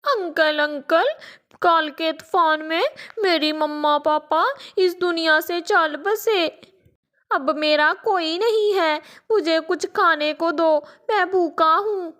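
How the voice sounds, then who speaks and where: एक महिला द्वारा निभाया गया रोने का नाटक करता चालाक कौवे का बच्चा. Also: female voice, extremely high pitch, takes it slowly, few pauses, bright, forceful tone, pitched above the performer's own voice, heavily acted. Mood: whiny. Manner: whiny, pleading. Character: cunning little male crow chick, fake crying.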